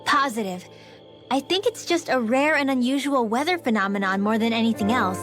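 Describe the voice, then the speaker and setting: A woman's voice: on the high side, a little fast, fairly bright tone, lightly acted. A woman voicing an earnest, bookish teenage girl adventurer in a video game cutscene. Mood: eager, explaining. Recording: music behind the voice.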